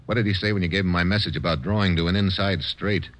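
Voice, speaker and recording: An American man playing a bold, wry, hard-boiled adventurer in an old-time radio drama, with brisk informal delivery. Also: low-pitched, steady tone, fairly bright tone. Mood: determined. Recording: noisy vintage radio recording.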